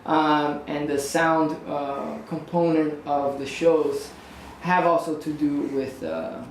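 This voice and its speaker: A man's voice: a little high, slow pace, roomy sound, slight background noise, poor audio. A man from Brazil, a painter, talking thoughtfully about his work and searching for words. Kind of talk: interview talk.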